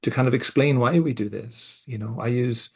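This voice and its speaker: Middle-aged male co-host, mixed Scottish-American accent, raspy gravelly voice, reflective and hesitant. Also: medium pitch. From Scotland. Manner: reflective, speaks in halting restarts with soft laughs. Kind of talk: casual podcast conversation.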